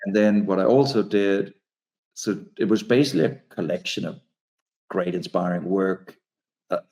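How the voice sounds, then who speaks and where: A man's voice: low voice, normal speed, darkish tone. A man in his forties, a native Danish speaker, talking about his book in a hesitant, restarting way. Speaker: author and speaker. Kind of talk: casual conversation.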